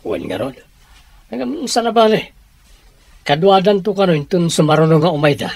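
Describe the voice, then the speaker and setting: A man playing a folksy, chatty middle-aged father and shopkeeper in a radio drama, with a husky voice. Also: high-pitched, expressive, moderate pace, a little mellow, exaggerated voice. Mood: gentle. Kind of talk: Ilocano radio drama acting.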